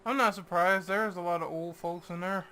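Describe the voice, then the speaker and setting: A man's voice: sits high, steady tone, normal speed, pushed and bright, slight background noise. A man joking around casually with friends while gaming. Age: 20s.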